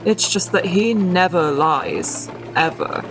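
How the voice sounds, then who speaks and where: Casting: actress as self-possessed boy lord for anime, slow, measured, formal, music underneath. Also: on the low side, rough recording. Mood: calm, certain. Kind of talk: anime-style manga dub acting.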